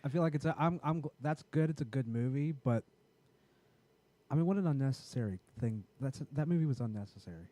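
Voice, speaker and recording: A man with a raspy voice in casual, profane podcast chat, rambling and often restarting his sentences. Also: mid-range voice, expressive, quick pace, warm tone. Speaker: podcast co-host.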